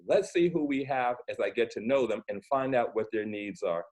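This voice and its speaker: A man's voice: mid-range voice, lively intonation, moderate pace, darkish tone. A man hosting a children's storytelling interview series who speaks earnestly and thinks aloud with fillers and restarts, talking over a video call. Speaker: host.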